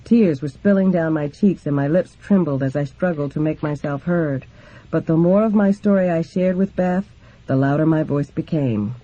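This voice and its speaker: A man's voice: fairly high pitch, normal speed, dark tone. A man reading a memoir aloud as scripted narration, in a dull lo-fi recording. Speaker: reader of a book.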